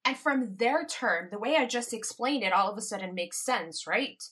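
A woman's voice: a little high, expressive, normal speed, fairly bright tone. A woman from the Caribbean hosting a podcast, talking in a reflective, casual monologue and laughing lightly between her points. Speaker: podcast host.

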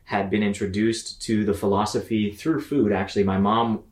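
A man's voice: lowish voice, little pitch movement, normal speed, a little mellow. A man in his thirties from Mississippi, telling his story conversationally as an interview guest, in a reflective, explanatory way. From Mississippi, United States. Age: 30s. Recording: roomy, echoing sound.

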